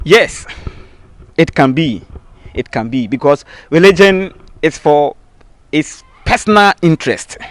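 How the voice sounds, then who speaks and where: A man's voice: a little high, expressive, a little slow. A man with a Ghanaian accent and Twi as his native language, explaining in an interview in an emphatic, repetitive teaching manner. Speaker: babalawo spiritual practitioner, interviewee.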